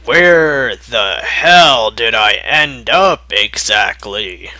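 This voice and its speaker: A guarded fighting man from a fantasy story, as a male reader plays him. He speaks slowly and deliberately, with a forceful, bright edge. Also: mid-range voice, expressive, dry recording, heavily acted. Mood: baffled, grave. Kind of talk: fan fiction character reading.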